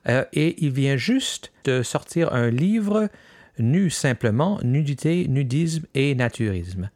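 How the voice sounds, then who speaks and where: Man, podcast host, hesitant, lots of fillers, mid pitch, dry close sound. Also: lively intonation, takes it slowly, darkish tone, no echo. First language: French. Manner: hesitant, many fillers and restarts. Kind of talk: scripted podcast introduction.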